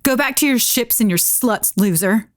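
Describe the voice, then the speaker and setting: A woman's voice: a little high, expressive, normal speed, no echo, studio quality, natural voice. A woman playing a wounded, fiery estranged lover in an audio drama, with blunt, emotional delivery. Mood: angry, hurt. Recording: voice over music. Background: music.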